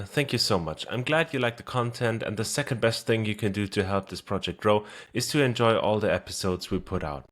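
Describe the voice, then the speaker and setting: A man's voice: fairly low pitch, fairly bright tone. A man hosting a podcast, opening the show and interviewing his guest in an upbeat, informal way. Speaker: podcast host.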